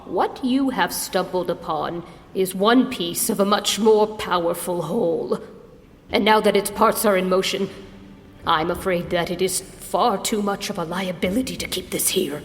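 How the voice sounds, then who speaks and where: An actress playing a grave, authoritative member of a fantasy order, speaking solemnly and formally in an audio drama. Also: female voice, on the low side, normal speed, close to an everyday voice. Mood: solemn, ominous. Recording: long echoing hall reverb.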